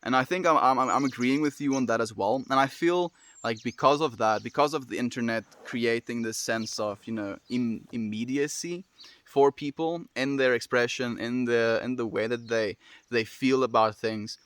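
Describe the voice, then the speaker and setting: A young man with a South African accent, hosting a casual livestream, answering chat in a gentle, affectionate way and often calling listeners "my friend". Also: mid-range voice, moderate pace. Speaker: livestream host reading chat.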